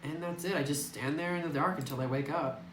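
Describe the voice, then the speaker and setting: A man voicing a gentle, wistful young woman in a visual novel, reading her lines politely over a phone microphone. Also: on the high side, fast talker, soft background music, lightly acted. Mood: wistful, curious. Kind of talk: read-aloud visual novel dialogue. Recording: roomy, noisy distant phone microphone.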